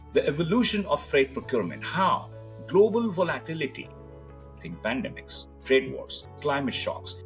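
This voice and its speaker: Man, podcast host, fairly high pitch, emphatic formal delivery, faint background music. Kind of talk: podcast introduction and interview.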